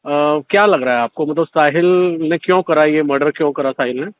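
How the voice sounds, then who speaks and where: A male interviewer asks questions down a thin phone line. He talks fast, in a slightly high voice. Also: a little hiss or hum. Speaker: journalist interviewer. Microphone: telephone. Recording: narrow, tinny phone call recording.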